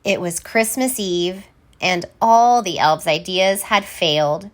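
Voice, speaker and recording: A woman reading a children's story aloud in an expressive, theatrical way, landing deliberately on key words. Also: on the low side. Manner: theatrical, deliberate, emphatic word by word. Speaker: storybook reader.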